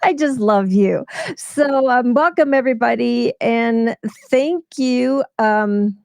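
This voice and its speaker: Woman host, slightly high voice, giggly and chatty, dry close sound. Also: creaky, darkish tone, dry recording. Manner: chatty, giggly, halting with fillers. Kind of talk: casual livestream interview.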